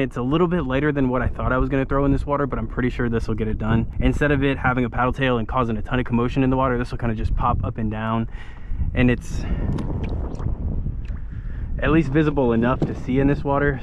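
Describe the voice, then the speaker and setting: Excited man fishing from a kayak. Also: mid-range voice, a little fast, muffled recording, noisy background. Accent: American. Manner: excited, loose, swears casually, talks to viewers as guys. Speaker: fishing vlogger. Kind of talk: casual running commentary while fishing. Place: kayak on open shallow water outdoors. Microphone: action camera mounted on kayak.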